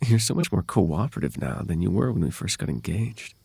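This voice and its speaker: A man performs a possessive male fae royal for an ASMR roleplay. The voice is fairly low and husky, swinging expressively in pitch, close and dry. He coaxes in courtly, silky phrases that carry a soft menace. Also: very animated, a little fast, no echo, heavily acted. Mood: tender, coaxing. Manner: courtly, coaxing, softly menacing. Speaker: voice actor.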